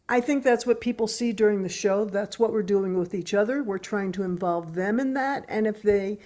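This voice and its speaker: An older American woman, a musician speaking casually as an interview guest. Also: medium pitch.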